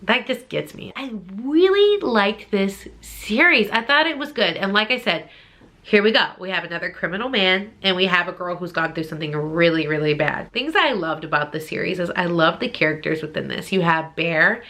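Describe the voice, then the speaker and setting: Bright-voiced woman chatting casually about books. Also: on the low side, animated, normal speed. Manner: informal and gushing, with emphatic repetition. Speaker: book reviewer, channel host. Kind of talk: casual chatty book review vlog.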